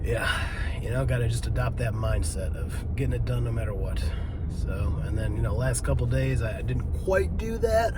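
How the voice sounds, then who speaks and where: Stuffy-nosed guy in his 30s talking to himself outside the gym, lots of um and you know, cars rumbling loud behind. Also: lively intonation, normal speed. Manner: loose, rambling self-talk with lots of fillers and swearing. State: congested. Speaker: vlogger. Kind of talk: casual post-workout vlog. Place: outdoors by a road, leaving the gym. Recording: loud traffic noise outside. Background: traffic rumble.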